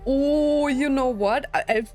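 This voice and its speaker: A woman's voice: high-pitched, slow, a little mellow. A woman streamer giving casual, chatty, giggly commentary as she plays a story game. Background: game music.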